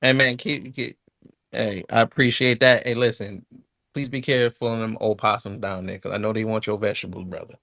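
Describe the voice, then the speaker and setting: A man hosting an online audio room, calmly moving things along and calling on the next speaker. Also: lowish voice, brisk, creaky, no room sound, studio quality. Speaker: host of an audio chat room. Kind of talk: moderating speakers.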